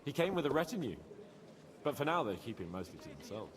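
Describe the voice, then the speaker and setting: A man's voice: mid-range voice, fast talker, close to an everyday voice. An actor playing a cocky, jovial medieval nobleman in a video game, teasing and wry, fond of pleasure. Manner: teasing, animated. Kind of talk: video game dialogue acting.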